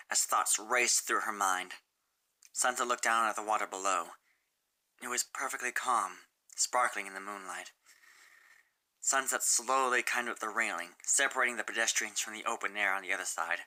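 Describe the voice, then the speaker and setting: Very low-voiced woman narrating a story. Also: bright, forceful tone. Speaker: narrator, fan fiction reader.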